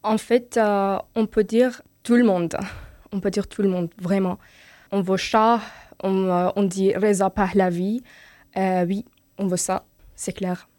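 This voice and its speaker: A woman's voice: medium pitch, steady tone, takes it slowly, darkish tone, no echo. A woman from Iran, a native Persian speaker, giving short simple answers as an interview guest. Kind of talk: studio interview.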